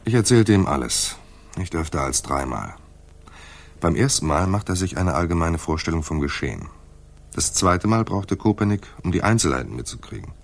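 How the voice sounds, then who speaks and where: Noir radio lead: sardonic private detective, extremely low, rough-edged husky voice, dry delivery. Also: male voice, very animated, normal speed, exaggerated voice. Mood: brooding, wry. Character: wry, hard-boiled male private detective, narrating. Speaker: lead actor and narrator. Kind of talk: noir radio play narration and dialogue.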